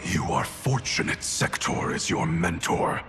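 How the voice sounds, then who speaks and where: An actor playing a cold, domineering ninja grandmaster in a video game, speaking in an imperious, menacing manner. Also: male voice, low voice, sing-song, measured pace, a bit bright, heavily acted. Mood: stern. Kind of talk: dramatic video game cutscene acting. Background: dramatic music.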